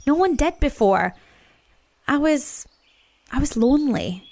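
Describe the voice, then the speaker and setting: A woman's voice: high-pitched, sing-song, a little slow, vocal fry, a little mellow, pitched above the performer's own voice, heavily acted. Woman playing a pompous, petulant badger. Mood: dejected. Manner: grandiose, childishly petulant. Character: pompous, lonely male badger who plays king. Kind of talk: children's storybook character voice.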